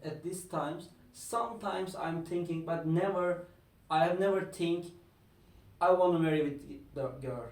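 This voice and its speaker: A man in his twenties with a Turkish accent, answering questions in hesitant English with slips, pauses and soft laughs. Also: high-pitched. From Turkey. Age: 20s. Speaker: Airbnb host. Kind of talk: casual interview answers. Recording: echoey room, mic at a distance.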